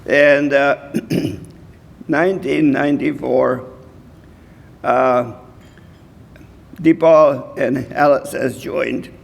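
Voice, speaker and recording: A man in his nineties from Hungary giving an award acceptance speech, halting and slow, often clearing his throat. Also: mid-range voice. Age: 90s. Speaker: award recipient. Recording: reverberant hall.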